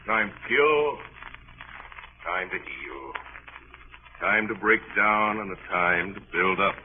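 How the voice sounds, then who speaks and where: A scratchy, narrow old radio recording carries an imposing missionary with a rather low voice. He orates pompously, as if every remark were scripture, in the theatrical manner of 1930s radio drama. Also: lively intonation, slow. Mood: preaching, forceful. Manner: pompous, sermonizing, grandiloquent. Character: imposing, sinister male missionary with a huge appetite.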